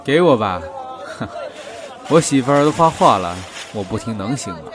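An actor playing a gentle, indulgent and protective police boyfriend in an audio drama. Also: male voice, medium pitch, very animated, a little slow, a crowd in the background. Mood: affectionate, teasing.

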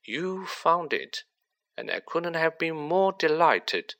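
Cold teen boy sorcerer, silky and gloating, put on by a male reader; raised, bright voice. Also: a little high, big pitch swings, takes it slowly, vocal fry, pitched above the performer's own voice, heavily acted.

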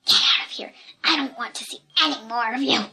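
A bombastic storybook ringmaster, played by a woman, showy and quick to temper. The delivery is grandiose and very animated, stretching out words and swooping up to higher notes. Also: exaggerated voice, rough recording. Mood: furious. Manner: grandiose announcing with drawn-out words. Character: bombastic male circus ringmaster, showy and easily enraged.

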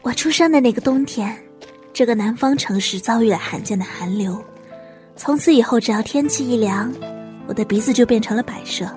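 A woman's voice: fairly high pitch, fairly quick, a little mellow, faint music behind, lightly acted. A woman acting as an earnest, wistful young apprentice chef who also narrates an audio drama. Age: young adult.

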